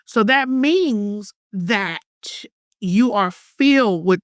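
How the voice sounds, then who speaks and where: A woman's voice: mid-range voice, animated, drawn-out words, no echo, studio quality. A woman hosting a podcast in casual chat, sassy and repeating her words, calling her guest honey and girl. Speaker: podcast host.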